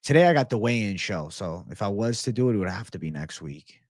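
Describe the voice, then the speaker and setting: A man's voice: on the low side, sing-song, fast, dry recording, clean studio sound. A young American man hosting a casual MMA livestream, reading viewer messages into a desk mic and chatting in a slangy, easygoing way. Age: young adult. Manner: laid-back, slangy, says bro a lot. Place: home studio room with shelves. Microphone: desk microphone in front.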